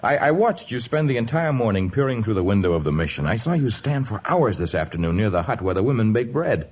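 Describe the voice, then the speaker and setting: A man's voice: on the low side, very animated, fast talker, darkish tone, husky. An actor playing a commanding, fatherly jungle hero with formal, declamatory phrasing, in a 1950s radio drama on an old muffled recording. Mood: firm, knowing. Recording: dull vintage radio recording.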